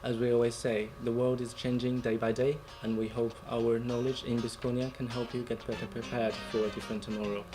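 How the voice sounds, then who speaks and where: A man's voice: medium pitch, level tone, fairly quick, hardly any pauses, warm tone, soft background music. A man hosting an interview, asking questions and closing the show. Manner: brief encouraging backchannels. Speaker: host.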